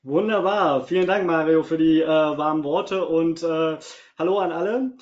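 A man's voice: fairly high pitch, normal speed, a little mellow. A man from Cologne with a slight Cologne tinge, giving a live webinar as a consultant, chatty with many fillers and repeated words. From Germany, Cologne. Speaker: webinar presenter, SEO consultant. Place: home office. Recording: muffled lo-fi webinar audio.

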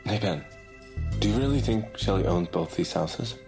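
An actor playing a goofy, easily distracted young amateur bounty hunter in a comedic audio drama, flippant and rambling. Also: male voice, deep voice, lively intonation, normal speed, creaky, music in the background. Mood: chatty. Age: young adult.

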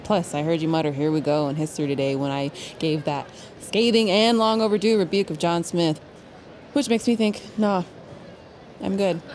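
A woman playing an aloof, sardonic teenage shop girl in an audio drama, with a deadpan, biting delivery. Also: fairly low pitch, normal speed, slight background noise, natural voice. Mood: cool sarcasm. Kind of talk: naturalistic audio drama acting.

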